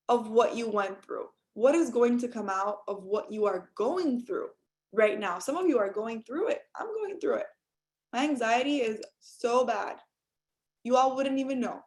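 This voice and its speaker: A young adult woman from Cuba giving an earnest motivational talk on a group call, speaking straight to her listeners. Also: a little high. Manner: earnest, direct, addresses listeners as you all. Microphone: call audio.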